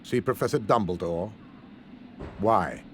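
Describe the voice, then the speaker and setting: An actor playing a stern, sneering wizard schoolteacher in a video game, speaking slowly and sardonically. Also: male voice, fairly low pitch, a little hiss or hum, natural voice. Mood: curt, dismissive. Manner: slow, sardonic, deliberate delivery. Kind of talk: video game cutscene acting.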